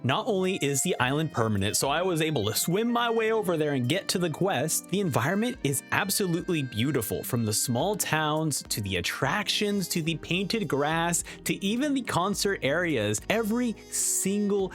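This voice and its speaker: A man's voice: on the high side, big pitch swings, moderate pace, few pauses, fairly bright tone, soft background music. A man giving enthusiastic video game review commentary in an effusive, emphatic manner.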